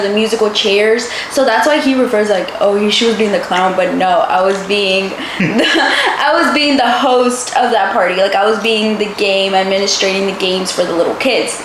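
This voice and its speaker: A woman's voice: mid-range voice, normal speed, few pauses. A woman in her twenties from the United States, chatting casually to camera as a channel co-host. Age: 20s. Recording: roomy echo, clear background noise.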